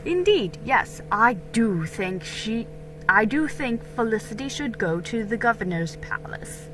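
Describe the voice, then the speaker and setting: A woman voicing a kindly, measured colonial father in a dramatic storybook reading, gentle and reassuring. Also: on the high side, big pitch swings, noisy background.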